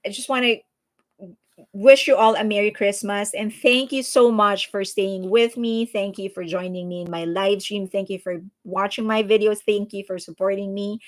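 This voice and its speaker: A woman from the Philippines with a Filipino accent, hosting a casual livestream and answering viewer comments in a chatty, earnest way. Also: mid-range voice. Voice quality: chatty, earnest, frequent restarts and fillers. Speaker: livestream host.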